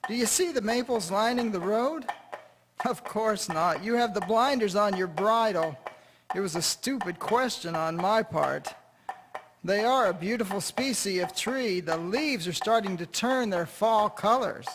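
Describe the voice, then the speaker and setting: A man's voice: high-pitched, expressive, measured pace. A man playing a kindly, chatty farmer who talks fondly to his horse in a radio drama. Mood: cheerful, fond. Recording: noisy, with clear foley sounds behind.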